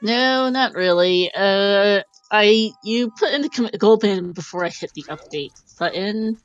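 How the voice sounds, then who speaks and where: A chatty woman commentating a randomizer race live, laughing easily as she calls out hints. Her voice sits at an ordinary middle pitch, with faint music playing underneath. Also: unhurried, highs cut off. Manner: chatty, laughs often, reads out clues. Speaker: tournament commentator. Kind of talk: casual live game commentary.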